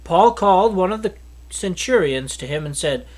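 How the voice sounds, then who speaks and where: A man reading a Bible chapter aloud in a steady, earnest manner, with the odd slip and restart. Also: high-pitched, normal speed. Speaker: scripture reader.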